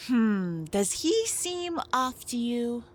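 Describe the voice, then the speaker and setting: Irritable robot girl engineer, played by a woman: high, animated, snippy, cartoon sci-fi. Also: slow, exaggerated voice. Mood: focused, suspicious. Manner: snippy, exasperated, sarcastic. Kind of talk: scripted sci-fi cartoon audio drama.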